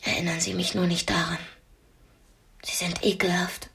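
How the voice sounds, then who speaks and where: An actress playing a defiant, scornful young woman held captive, speaking bluntly with a husky voice in a radio drama. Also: lowish voice, flat delivery, moderate pace, fairly bright tone, full character voice. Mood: cool, bitter. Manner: blunt, cutting.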